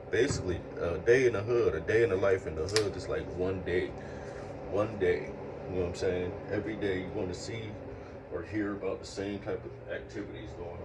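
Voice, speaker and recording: Deep-voiced man, heard from afar. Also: recorded from a distance, very noisy. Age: 30s. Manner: earnest, circling back with repeated phrases. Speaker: speaker sharing life lessons. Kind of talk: reflective monologue.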